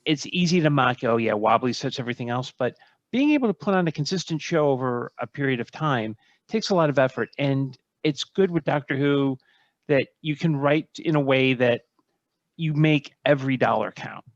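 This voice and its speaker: A man giving opinions as a panelist in a casual discussion, measured and pausing as he thinks. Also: mid-range voice, animated, no room sound, lo-fi sound.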